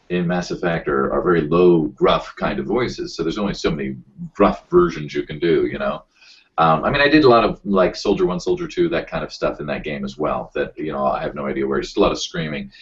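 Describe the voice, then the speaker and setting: A man with a very low voice, a voice actor chatting casually as a podcast guest, rambling and self-deprecating as he tells stories. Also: a bit bright, dull audio.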